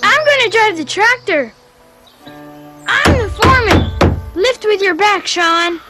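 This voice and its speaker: A woman's voice: very high voice, lively intonation, takes it slowly, loud music behind the voice, heavily acted. A woman voicing an eager, bossy, cheerful little boy in a video game, exuberant in delivery.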